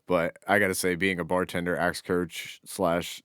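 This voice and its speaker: A man's voice: low-pitched, flat delivery, moderate pace, a bit bright, clear, resonant tone, dry recording, clean studio sound. A man co-hosting a podcast in casual, informal chat, with some offhand swearing. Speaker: podcast co-host.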